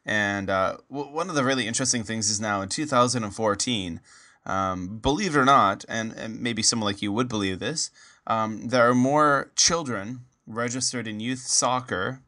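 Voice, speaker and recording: Man, Canadian accent, podcast host, bright tone, rambling, lots of fillers and restarts. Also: lowish voice, normal speed. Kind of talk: casual interview.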